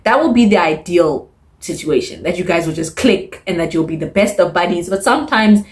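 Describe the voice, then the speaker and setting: A woman reviewing books in a casual, chatty way, expressive and emphatic, often repeating words to stress them. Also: lowish voice, a little fast. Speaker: book reviewer. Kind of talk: casual chatty monthly reading wrap-up.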